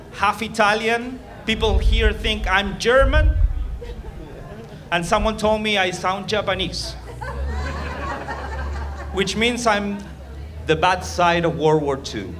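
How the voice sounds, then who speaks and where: A man's voice: high voice, slow, pauses often, background noise. A man with an Argentinian accent doing a crude, rambling, self-mocking stand-up set in a club. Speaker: stand-up comedian. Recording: live club with loud crowd.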